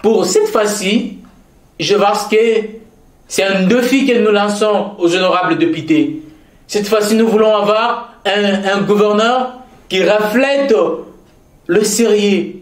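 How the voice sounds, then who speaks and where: A man's voice: sits high, slow pace. A Congolese man with a Congolese French accent, speaking in a formal, earnest way, often repeating key phrases and hesitating as he goes. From Kasaï-Central, DR Congo. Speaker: interviewee, commenting on provincial politics. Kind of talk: formal, earnest public commentary. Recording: echoey room.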